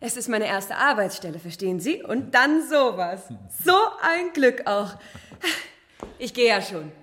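An eager young woman curator in a naturalistic radio drama. Her voice is fairly high and animated, chatty and giggly, swinging readily up and down. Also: unhurried. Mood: excited, eager. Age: young adult. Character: excited, chatty young pregnant museum curator. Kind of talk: naturalistic radio play acting.

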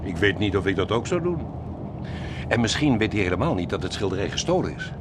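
A man's voice: sing-song, fast talker. An actor playing a genial, worldly older man, chuckling and avuncular, in a radio drama. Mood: reflective, chatty. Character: genial, wealthy older widowed expatriate man, worldly and talkative. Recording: noisy outdoor setting with vehicle rumble.

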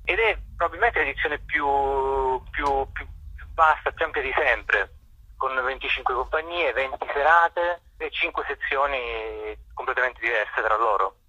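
Guy being interviewed, super bright edgy voice, dry close sound, keeps hunting for words. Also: mid-range voice, breathy edge, dry recording, highs cut off. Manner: hesitant, halting, searching for words. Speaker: theatre festival director, interview guest. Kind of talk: unscripted interview answers. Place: radio interview.

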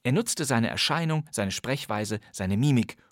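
A male podcast host speaking at a medium pitch into a close studio microphone, the sound completely dry. He introduces an archive radio play in a playful, chatty tone and clearly enjoys the old material. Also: expressive, a little slow, dry recording.

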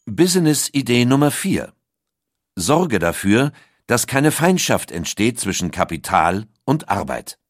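A man's voice: mid-range voice, big pitch swings, measured pace, no echo, clean studio sound. A man reads scripted documentary narration as one of its narrators. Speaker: second narrator of an audio documentary.

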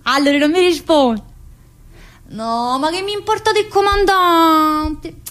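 A woman's voice: extremely high pitch, measured pace, a bit bright. An actress playing a chatty, restless middle-aged woman in prison, teasing and earthy, in a theatre monologue. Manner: teasing, earthy, full of fillers and laughs. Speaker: stage actress in a one-woman play.

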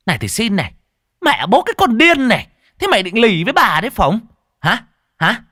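A man's voice: wildly swooping pitch, a little fast, a bit bright, husky, no room sound, clean studio sound, heavily acted. A man voicing a fat, foul-mouthed, furious woman who runs a rice eatery, scolding and cursing in barked questions. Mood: cursing angrily. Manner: shrill cursing, scolding, barking rhetorical questions.

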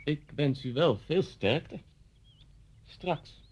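A man's voice: on the high side, lively intonation, very slow, darkish tone, slightly rough, exaggerated voice. An actor in a Dutch radio play, playing a talkative, coolly unsettling policeman who speaks politely and insinuatingly. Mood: coolly ominous. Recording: dull, muffled old radio recording.

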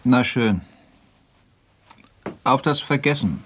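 A dryly ironic man speaks at an unhurried pace in a radio play. He prods and coaxes in short replies, over slight background noise. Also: mid-range voice, lively intonation. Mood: dry, wry. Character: probing, wry male drinking companion, coaxing and persistent.

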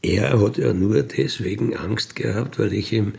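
A man with a gravelly voice, recounting in a blunt, matter-of-fact, colloquial way with emphatic tag questions. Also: lowish voice, a little slow, darkish tone. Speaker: ex-convict telling his experiences. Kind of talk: colloquial spoken monologue, recounting.

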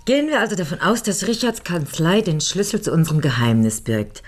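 An actress playing a methodical, level-headed lawyer in a German radio crime drama, measured and matter-of-fact. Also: female voice, fairly low pitch, expressive. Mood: purposeful, analytical.

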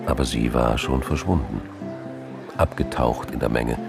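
Very deep, gravelly male narrator. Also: normal speed, over music. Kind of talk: scripted crime drama narration.

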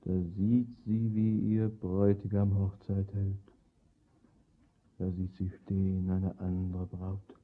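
An actor playing a grave, fateful young bridegroom in a poetic radio drama, solemn, measured and declamatory, on a muffled old recording with noise. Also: male voice, deep voice, completely flat delivery, husky, soft background music, heavily acted. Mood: solemn, ominous.

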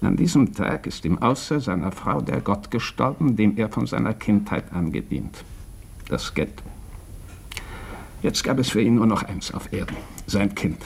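Gravelly, confiding worldly doctor. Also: male voice, on the low side, animated, moderate pace, exaggerated voice. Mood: grave, earnest. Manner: confiding, insistent, rhetorical questions. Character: candid, worldly Austrian doctor confiding a patron's past. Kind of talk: radio drama acting.

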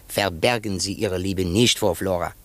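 An actor playing a shrewd, self-assured foreign detective in a theatrical, didactic manner, in 1950s German radio drama style. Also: male voice, low voice, normal speed. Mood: fatherly, emphatic. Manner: theatrical, didactic, sprinkles in French exclamations.

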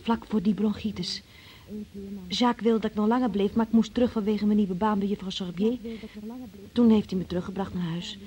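A woman's voice: medium pitch, fairly even intonation, a little fast, warm tone, slightly rough, lo-fi sound, close to an everyday voice. An actress playing an evasive, naive nineteen-year-old woman being questioned in a radio play, defensive and artless. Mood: artless, candid. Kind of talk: Dutch-language radio play acting.

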